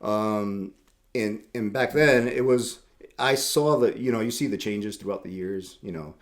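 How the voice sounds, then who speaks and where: A man's voice: on the low side, unhurried. A middle-aged man from Puerto Rico, a tattoo shop owner telling his story in casual interview chat, easygoing and rambling with repeated words.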